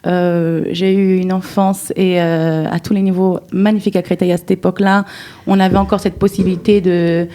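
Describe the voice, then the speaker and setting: A woman from France, a guest answering interview questions in a measured, reflective way. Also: on the low side, flat delivery, mellow.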